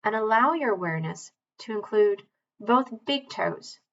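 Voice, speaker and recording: Muffled recording of a woman guiding meditation. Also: mid-range voice. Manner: calm, measured, gentle instructing with long pauses. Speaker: mindfulness meditation teacher. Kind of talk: guided body scan meditation.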